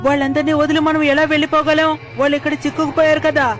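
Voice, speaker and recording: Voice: sits high, level tone, rapid-fire, a bit bright. A voice actor playing an earnest, encouraging young boy, the hero's little brother, in a dubbed cartoon.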